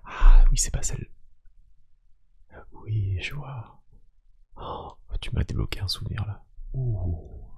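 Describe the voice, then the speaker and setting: A man with a low-pitched, very breathy voice that often slips into a whisper. He talks softly and unhurriedly, as in an ASMR roleplay, with gentle, hesitant, lightly teasing questions to one listener. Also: animated, slow pace, long pauses. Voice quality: hushed, breathy, half-whispered. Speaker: ASMR roleplay host asking personality questions. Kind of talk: soft-spoken ASMR roleplay, one-sided conversation.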